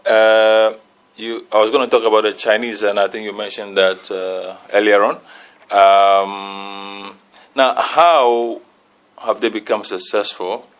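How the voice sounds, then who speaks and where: A man, a reverend, putting a long question to a radio panel, pausing often as he searches for his words. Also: fairly low pitch, level tone, clean tone.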